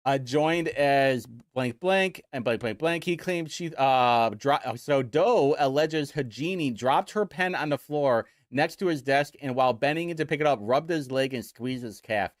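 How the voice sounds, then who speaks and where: A man's voice: mid-range voice, normal speed, hardly any pauses, no echo, studio quality. A young man from Indiana, hosting a casual commentary show in a sarcastic, mocking way. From Indiana, United States. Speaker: host.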